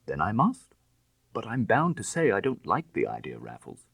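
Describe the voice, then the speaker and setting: A man voicing an anxious, earnest young gentleman accomplice in an audiobook, with agitated, formal, old-fashioned phrasing. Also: on the low side, wildly swooping pitch, normal speed, vocal fry, breathy edge, no echo, pitched above the performer's own voice, heavily acted. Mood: reluctant, uneasy. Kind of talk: dramatised audiobook reading of classic fiction.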